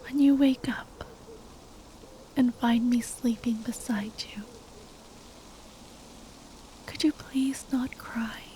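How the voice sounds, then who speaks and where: A woman playing a tender, grieving spellcaster lover in an ASMR roleplay, speaking in a breathy, halting whisper. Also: a little high, fairly even intonation, dark tone, slight background noise. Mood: tender, sad. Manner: halting, tender, sighing delivery.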